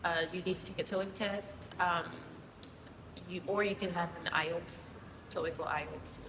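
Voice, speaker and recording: A woman from Zimbabwe, a guest teacher, explaining things casually with many pauses and ums. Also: lowish voice, steady tone. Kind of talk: casual interview answers. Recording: distant mic, roomy hall, noisy.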